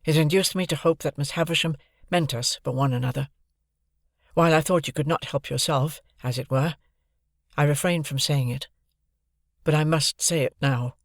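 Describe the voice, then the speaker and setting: A man's voice: fairly high pitch, normal speed, vocal fry, dry recording, studio quality. A man voicing an earnest young man pleading his love in a storybook reading.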